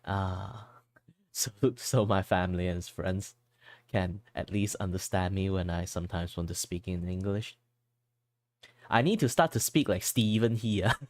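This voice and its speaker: A man's voice: low voice, measured pace, no echo. A young man from Malaysia chatting casually while drawing on stream, rambling with lots of fillers and restarts and switching at will into Malaysian English. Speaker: art streamer.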